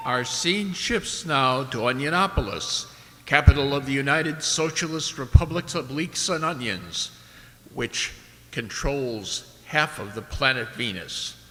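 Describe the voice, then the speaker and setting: Bright-voiced man as a radio drama narrator. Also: mid-range voice, measured pace. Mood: formal. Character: brisk, formal radio announcer. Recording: hall-like reverberant stage sound.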